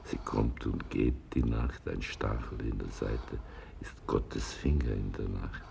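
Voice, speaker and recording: An actor in a radio play playing a wry, patient, philosophical old man with a gravelly voice and a dry, musing, unhurried delivery. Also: a bass voice, warm tone, slight background noise, exaggerated voice. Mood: musing, tender. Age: older. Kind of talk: 1980s German radio play acting.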